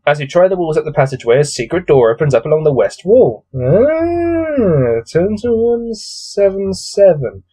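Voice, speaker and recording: Mellow, higher-pitched man grumbling through a read-aloud. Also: big pitch swings, moderate pace, slightly rough. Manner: bored, exasperated, grumbling. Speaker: host reading a gamebook aloud. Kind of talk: casual commentary mixed with read-aloud narration.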